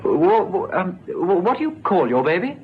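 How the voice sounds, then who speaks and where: Wildly expressive kindly policeman, vintage radio drama. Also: high-pitched, moderate pace. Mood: earnest, pleading. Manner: earnest, coaxing, stammering at times. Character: kindly, persuasive male police officer talking someone round. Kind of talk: old radio drama acting. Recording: dull, noisy old radio recording.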